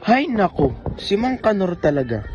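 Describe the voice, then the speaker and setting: Man acting as joking young guy, animated, fairly high voice, music behind, radio play. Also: normal speed, dull audio. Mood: mocking. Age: young adult. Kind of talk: amateur radio drama acting.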